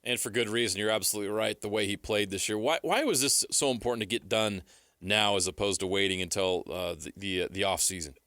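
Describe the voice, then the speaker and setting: A man's voice: on the low side, fast, fairly bright tone, no echo, clean studio sound. A man co-hosting a sports radio show in a casual conversational style.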